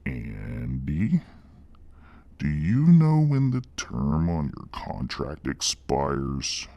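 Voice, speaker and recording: A man voicing a menacing reptilian demon boss with a deep gravelly growl, speaking in slow, mock-polite, condescending corporate fashion. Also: wildly swooping pitch, warm tone, dry recording, dull audio, heavily acted. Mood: cold, condescending.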